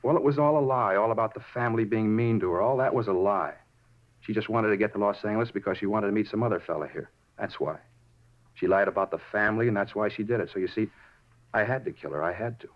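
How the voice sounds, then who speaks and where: An actor playing an unhinged, self-justifying murder suspect in a 1950s radio drama, rambling over small details and repeating himself. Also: male voice, lowish voice, fast talker, close to an everyday voice. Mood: earnest. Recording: muffled 1950s radio recording.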